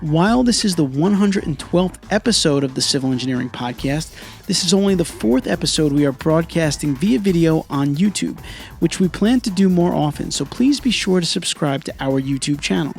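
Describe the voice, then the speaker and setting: A man's voice: on the high side, expressive, normal speed, vocal fry, darkish tone, soft background music. A man hosting an engineering podcast, reading a polished, upbeat introduction and then interviewing a guest in an office. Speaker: podcast host.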